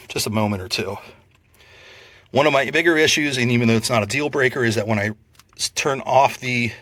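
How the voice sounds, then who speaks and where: A man giving a casual, unscripted product review in a plainspoken, measured, explanatory way. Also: on the low side, a bit bright, no echo.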